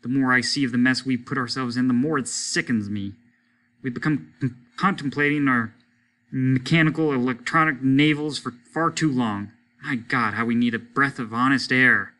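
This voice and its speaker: A man's voice: mid-range voice, normal speed, bright, forceful tone, close to an everyday voice. A man doing a stern, fed-up father. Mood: firm, exasperated. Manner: firm, exasperated, decisive.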